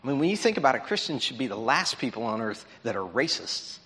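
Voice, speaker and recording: A man's voice: mid-range voice, very animated, fast, a bit bright. A man preaching a lesson in a conversational, explanatory way, checking in with his listeners as he goes. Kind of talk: sermon lesson. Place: large auditorium. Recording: long reverb in an auditorium.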